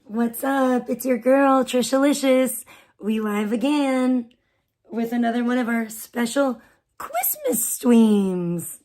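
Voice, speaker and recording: Hoarse, bubbly woman streamer. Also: fairly high pitch, sing-song, slow pace, a little mellow, slight background noise. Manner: bubbly, chatty, rambling with fillers. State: hoarse, losing her voice. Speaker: live streamer greeting chat. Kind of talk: casual live stream chat.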